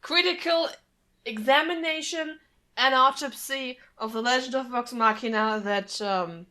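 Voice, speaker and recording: Podcast co-host, female, late twenties: high, very bright voice, blunt and sardonic in casual chat. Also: unhurried. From Germany.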